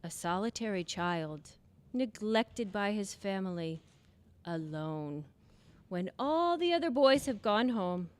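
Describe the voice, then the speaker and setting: A woman's voice: mid-range voice, expressive, clear, resonant tone. A woman playing a solemn, otherworldly spirit guide in a stately old-time radio drama style. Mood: gently guiding. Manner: stately, deliberate.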